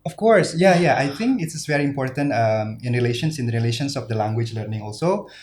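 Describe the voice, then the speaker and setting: Earnest Indonesian man, halting. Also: medium pitch, lively intonation, a little mellow, slight background noise. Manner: earnest, many fillers and restarts. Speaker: guest speaker. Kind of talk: interview answers.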